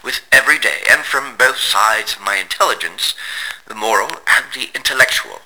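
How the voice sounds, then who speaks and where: Tormented Victorian scientist, male actor: grandiose confessional monologue, piercingly intense, highly animated. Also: moderate pace, heavily acted, rough recording. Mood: fervent anguish. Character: tormented, proud Victorian gentleman scientist confessing his downfall. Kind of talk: dramatic monologue in gothic audio drama.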